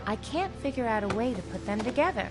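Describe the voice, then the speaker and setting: A woman's voice: on the high side, very animated, quick pace, music in the background. A woman playing a plucky, wry teenage girl adventurer in a video game, musing aloud. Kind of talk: video game character dialogue.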